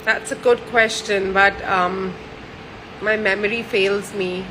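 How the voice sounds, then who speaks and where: A woman's voice: mid-range voice, fairly even intonation, slow, background noise. A woman in her thirties from India, chatting cheerfully with frequent fillers and restarts while she answers questions. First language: Gujarati or French, not Hindi. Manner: warm and cheerful. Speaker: actress answering fan questions. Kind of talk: casual chat.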